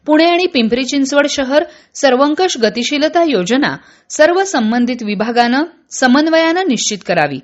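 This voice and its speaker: Woman, radio newsreader, highish pitch, formal measured narration, slightly lo-fi sound. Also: lively intonation. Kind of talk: scripted news narration.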